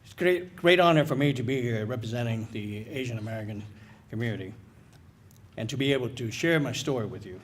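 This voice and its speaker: A man giving a speech at a military ceremony, halting and nervous, with many fillers. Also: medium pitch. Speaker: guest speaker.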